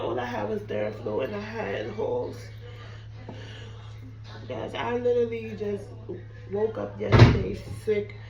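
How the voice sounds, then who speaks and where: A young woman talks casually to her viewers from her home kitchen, her voice hoarse and fading from illness. Her marks her easy, chatty delivery. She stands away from the mic, and the bare room adds a roomy echo. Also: mid-range voice, distant mic, lo-fi sound. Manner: chatty, apologetic, addresses viewers as "guys". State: sick, losing her voice, hoarse. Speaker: cooking vlogger. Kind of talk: casual chat to camera.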